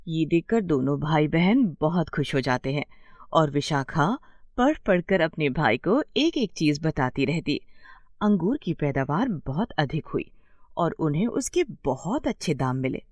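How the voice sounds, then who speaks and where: Female storyteller for scripted bedtime tales: lively, varied intonation, dry close recording. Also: sits low, a little slow, dry recording, highs cut off.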